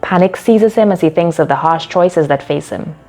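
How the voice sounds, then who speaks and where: A woman storyteller reading scripted narration in a measured, deliberate way. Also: lowish voice, dark tone. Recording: music bed under the voice. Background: background music.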